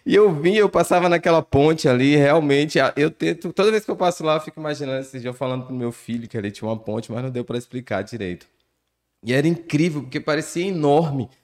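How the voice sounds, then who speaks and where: A man's voice: on the high side, quick pace, a little mellow. A man who hosts a podcast and talks in a chatty, joking way. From western Bahia, Brazil. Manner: jokey, chatty, laughs often. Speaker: podcast host. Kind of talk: casual podcast hosting.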